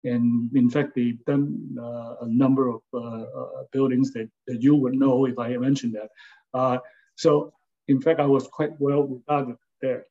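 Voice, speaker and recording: An older man from Hong Kong, an architect recalling his career in a halting, casual way, often checking in with "okay?". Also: mid-range voice, little pitch movement, creaky, phone-quality sound. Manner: halting, many fillers and restarts, tags with "okay?". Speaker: interviewee, architect and former design lecturer. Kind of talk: casual spoken reminiscence.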